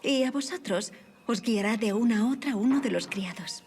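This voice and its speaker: High-voiced, gracious aristocratic lady played by an actress. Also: moderate pace, a little mellow, faint music behind, close to an everyday voice. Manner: polite, poised, formal period speech. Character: gracious, witty young aristocratic lady, affectionate and self-assured. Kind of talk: audio drama acting.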